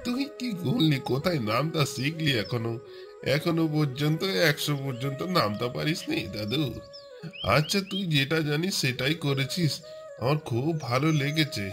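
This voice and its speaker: A man's voice: a little high, animated, close to an everyday voice. Guy doing old grandpa cartoon voice, lazy teasing sweet-talker, bit of music under it. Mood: coaxing. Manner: wheedling, drawn-out, teasing. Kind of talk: cartoon voice acting.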